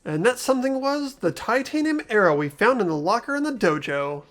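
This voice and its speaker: A man's voice: extremely high pitch, sing-song, brisk, a bit bright, soft background music, exaggerated voice. A man voicing an earnest teenage boy who patiently reasons out a murder case, in a fan-voiced game. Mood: resolute. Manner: earnest, explanatory. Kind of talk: fan-voiced visual novel game acting.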